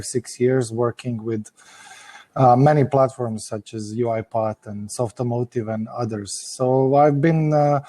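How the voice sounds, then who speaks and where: Male tech panelist, warm dark voice, informal and halting. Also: mid-range voice. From Croatia. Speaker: panelist, tech company representative. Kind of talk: informal professional self-introduction.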